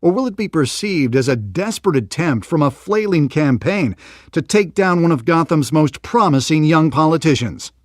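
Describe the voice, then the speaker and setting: A man's voice: a little high, lively intonation, normal speed, no echo, clean studio sound, lightly acted. A man voicing a glib, polished television news presenter in a video game, with wry emphasis. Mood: pointed. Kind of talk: broadcast news reading, video game acting.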